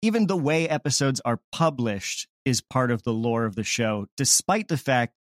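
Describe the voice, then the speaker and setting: A man's voice: medium pitch, expressive, normal speed, no echo, studio quality. A man chatting casually in an interview as a show creator, explaining things in a self-deprecating way. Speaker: podcast creator being interviewed.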